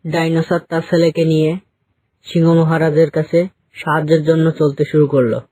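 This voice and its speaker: A man's voice: fairly high pitch, level tone, fairly quick, dark tone, no echo, low-quality recording. A man narrating a scripted children's story.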